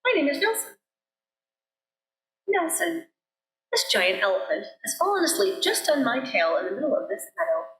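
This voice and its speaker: A woman's voice: sits high, big pitch swings, moderate pace, long pauses, fairly bright tone, voice pushed up. A woman voicing a small male mouse who is trapped and politely, desperately pleading, in a children's storybook style. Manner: pleading, exclaiming. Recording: roomy sanctuary echo.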